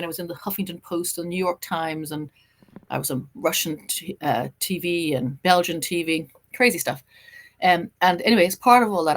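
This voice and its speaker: A middle-aged Irish woman, a journalist and writer, chatting as a podcast guest and telling her story in an animated way. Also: deep voice. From Ireland. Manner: animated storytelling, quick and lively. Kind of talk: casual interview chat.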